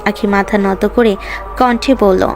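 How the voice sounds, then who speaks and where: A woman's voice: medium pitch, steady tone, measured pace, soft background music. A woman reading scripted narration for a romantic story.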